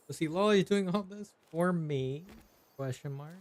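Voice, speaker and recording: Streamer: woman with a deep voice, dry recording, offhand reactions to gameplay. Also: unhurried, a little mellow, no room sound. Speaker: game streamer. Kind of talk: casual gameplay commentary.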